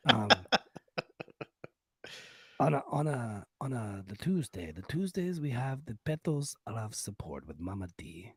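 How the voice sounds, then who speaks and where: A man plays a sultry Latin lover. His voice is husky and breathy, sometimes dropping to a whisper, and it swings playfully up and down as he haltingly flirts through broken English in a close, dry podcast sound. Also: mid-range voice, sing-song, darkish tone, no echo. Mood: seductive, playful. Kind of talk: comic podcast sign-off in a put-on voice.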